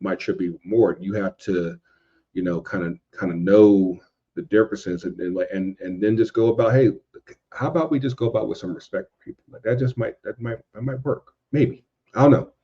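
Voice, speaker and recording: A man's voice: on the low side, fairly even intonation, fairly quick, dark tone, highs cut off. A man co-hosting a podcast, talking casually and informally with rhetorical asides.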